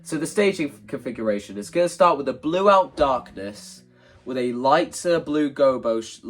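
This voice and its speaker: A man's voice: mid-range voice, animated, moderate pace. A man with a mid-range voice, slightly congested from hay fever. He talks casually and modestly, rambling a little as he explains his ideas like a vlogger. Faint plucked guitar music plays behind him. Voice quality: slightly stuffy, congested nose. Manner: informal, self-deprecating, rambling. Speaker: video creator presenting a stage play concept.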